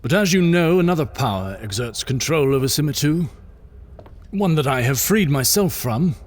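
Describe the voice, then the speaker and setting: A man's voice: a little high, animated, moderate pace. A man playing a benevolent king who gives a solemn, earnest speech to refugees. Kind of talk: audio drama acting.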